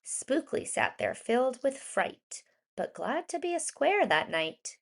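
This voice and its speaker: A woman reading a rhyming children's story aloud in an expressive, deliberate storytelling manner with emphasized words. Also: high voice, creaky. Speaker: children's storybook reader, educator.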